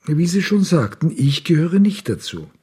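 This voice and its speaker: A man's voice: fairly high pitch, big pitch swings, normal speed, dark tone, husky. An actor playing a sardonic, restless and boozy male outsider in a radio drama, teasing as he talks. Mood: guarded, sardonic.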